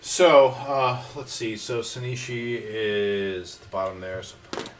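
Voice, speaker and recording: Slow, halting man in a roomy space. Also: on the low side, little pitch movement. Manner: halting, hesitant. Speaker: player in a tabletop roleplaying game. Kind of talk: casual chat, narrating a character's moves. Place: around a table indoors at a home game. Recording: roomy, distant mic, faint noise.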